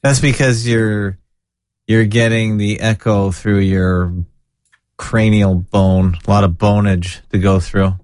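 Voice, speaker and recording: A man's voice: lowish voice, steady tone, takes it slowly, a little mellow, dry recording, clean studio sound. Nasal-voiced man hosting a casual chat. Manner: chatty, jokey, blunt opinions. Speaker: podcast host.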